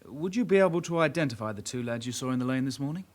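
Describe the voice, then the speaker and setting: Junior male detective, radio drama: dutiful, polite, a touch hesitant, medium pitch. Male actor. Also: expressive, rapid-fire, lightly acted. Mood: patient, earnest. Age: young adult. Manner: courteous, hesitant, matter-of-fact. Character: polite, earnest young detective constable, sidekick. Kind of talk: naturalistic radio drama acting.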